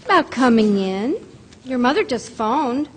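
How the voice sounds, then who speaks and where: High-voiced, coy young woman. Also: lively intonation. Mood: coy, inviting. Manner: coy, pointed, deliberate. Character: spirited, teasing small-town young woman in love. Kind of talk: old-time radio drama stage acting. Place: theater stage, live performance. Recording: echoey theater stage, hissy live recording.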